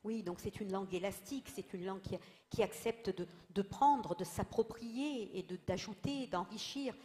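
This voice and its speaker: A woman's voice: mid-range voice, moderate pace, dark tone, big-room sound. A woman moderating a discussion panel, articulate, asking long, carefully built questions.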